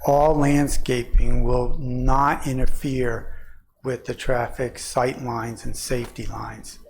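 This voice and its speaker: A man's voice: medium pitch, little pitch movement, slow, gravelly. A man presenting a site plan at a township meeting, answering questions methodically with occasional fillers.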